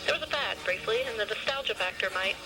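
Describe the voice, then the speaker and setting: A performer voicing a pompous, flattering male fashion designer with a theatrical, flowery manner in an audio story, with music behind. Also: medium pitch, fast talker, close to an everyday voice, poor audio. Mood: musing, reverent.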